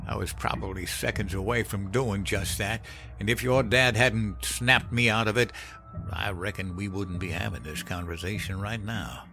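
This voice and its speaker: A man voicing a gruff, cynical old country man with a folksy drawl, telling of hard times in a horror story. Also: lowish voice, steady tone, normal speed, over music, lightly acted. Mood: haunted, confessional. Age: older. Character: cynical old country man recounting grim Depression-era hardship. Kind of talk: dramatized horror story narration.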